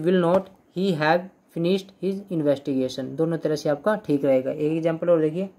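A young man teaching English grammar in Hindi, going slowly through example sentences, repeating them and often checking "clear?". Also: a little high, darkish tone. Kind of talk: Hindi-language grammar lesson.

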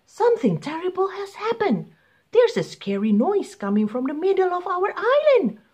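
A woman's voice: extremely high pitch, huge pitch swings, moderate pace, a little mellow, voice pushed up, full character voice. A woman voicing a frightened pilot, urgent and pleading, in a children's storybook. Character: frantic frightened airplane pilot pleading for help.

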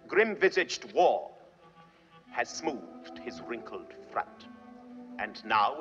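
A scheming, bitter hunchbacked duke. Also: male voice, mid-range voice, pushed and bright. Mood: brooding. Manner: measured, sardonic verse speaking with sudden intense outbursts. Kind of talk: classical Shakespearean film soliloquy. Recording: dull old film soundtrack with long reverb.

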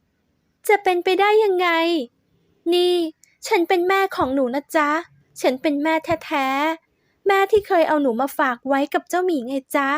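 A desperate young mother begs in a raised, strained voice, as a woman narrator puts her on. It sounds close and dry, with her pitch pushed well above plain talk. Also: unhurried, dry recording. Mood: pleading. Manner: high pleading tone. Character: young mother pleading, tearful and anxious.

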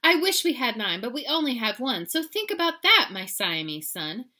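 A woman's voice: fairly low pitch, fairly even intonation, takes it slowly, sharp tone, full character voice. Woman acting out a melodramatic, frazzled mama cat. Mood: scolding. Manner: melodramatic, singsong rhyming scolding. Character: exasperated mama cat, frazzled, scolding her young son.

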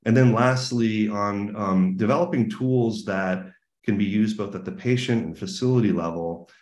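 A man's voice: fairly low pitch, moderate pace, a little mellow. A man giving a keynote presentation over a webinar, speaking earnestly with many fillers and restarts. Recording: roomy echo.

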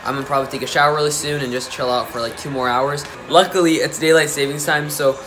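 A man's voice: a little high, steady tone, fast, background noise. A teenage American male speaking in a casual vlog style to a handheld camera at arm's length. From United States. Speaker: vlogger, youth soccer player. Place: indoors: home living room, hotel room, busy venue. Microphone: phone or camera at arm's length.

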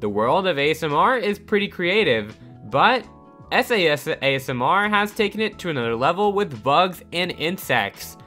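A man reading a scripted countdown voice-over with upbeat delivery over soft background music. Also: a little high, very animated, moderate pace. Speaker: narrator of a countdown channel.

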